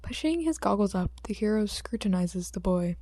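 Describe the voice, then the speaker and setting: Woman reading an audiobook story, husky and creaky, really dry recording. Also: mid-range voice, expressive, moderate pace, warm tone, no room sound. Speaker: audiobook narrator. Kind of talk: scripted fan fiction narration.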